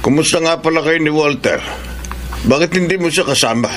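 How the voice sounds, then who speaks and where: A man's voice: on the high side, a little fast, a bit bright, close to an everyday voice. A man acting a pushy, scheming middle-aged father in a Filipino radio drama. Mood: nosy, eager. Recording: faint background noise.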